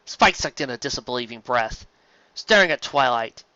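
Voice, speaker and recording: A man narrates a story with expressive, animated delivery. His voice is bright and lively. The recording is dry and a little dull, like a home setup. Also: medium pitch, moderate pace, smooth, no room sound.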